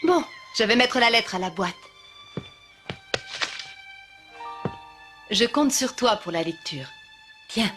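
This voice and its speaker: A woman playing a kind but firm schoolmistress in a French-dubbed cartoon, speaking in a measured, instructive way. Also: on the high side, animated, natural voice. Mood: encouraging. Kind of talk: French animated series dubbing. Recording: faint music behind the voice.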